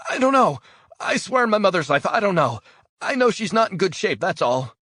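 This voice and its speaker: A man voicing a terrified captive man who shouts and pleads in a high, strained voice. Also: sing-song, moderate pace, breathy edge, dry recording, studio quality, exaggerated voice. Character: panicked captive man, defiant then terrified.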